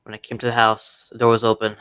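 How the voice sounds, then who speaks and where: A man playing a lovesick, hot-headed young gentleman in an amateur radio mystery play. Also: lowish voice, completely flat delivery, quick pace, clean tone. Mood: agitated.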